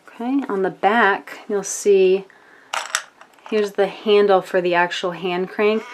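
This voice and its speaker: An American woman with a fairly low voice talks through a product demonstration. She explains features step by step, informally and with some hesitation, like a casual reviewer.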